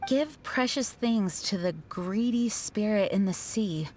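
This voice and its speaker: A woman playing a responsible, courteous young knight leader in a video game, speaking in an earnest, measured, polite way. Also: medium pitch, a little mellow, a little hiss or hum, close to an everyday voice. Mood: thoughtful. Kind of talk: animated game character acting.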